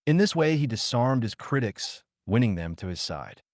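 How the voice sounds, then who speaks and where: A man reading a biography aloud as an audiobook narrator, in an expressive, clearly enunciated storytelling manner. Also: mid-range voice, moderate pace, no echo, clean studio sound.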